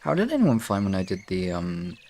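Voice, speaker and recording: A man with a low voice and a lot of creak chats casually on a livestream. He rambles informally as he addresses his viewers. Some faint noise sits behind him. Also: wildly swooping pitch, brisk, a little mellow. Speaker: livestream host.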